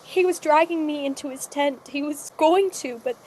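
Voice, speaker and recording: An actress playing an exhausted, frightened young girl in the desert in an audio drama, speaking in halting, plaintive phrases. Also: sits high, a little mellow, slight background noise, natural voice, poor audio. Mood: traumatized, halting. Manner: halting, faltering, plaintive speech. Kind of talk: cinematic audio drama acting.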